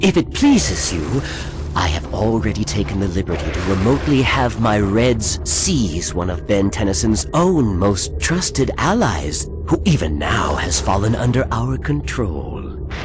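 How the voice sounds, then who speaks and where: A fawning, scheming video game henchman. His lowish voice swoops around in formal, obsequious phrases, with music clearly playing behind it. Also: very animated, a little slow. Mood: servile flattery. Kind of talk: video game character acting.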